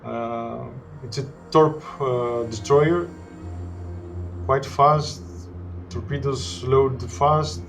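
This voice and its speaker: A man with a Greek accent, a non-native English speaker, narrating his gameplay in halting sentences full of "uh"s. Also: medium pitch, steady tone, soft background music. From Greece. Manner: halting, searching for words with frequent "uh". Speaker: gameplay commentator. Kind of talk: gameplay commentary.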